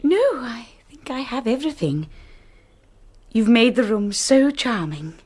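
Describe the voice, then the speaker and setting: High-voiced, shy young English wife. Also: female voice, sing-song, unhurried, darkish tone, breathy edge, dry recording, full character voice. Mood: nervous, polite. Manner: hesitant, polite, self-effacing. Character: shy, anxious young new wife, eager to please. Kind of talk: radio drama acting with first-person narration.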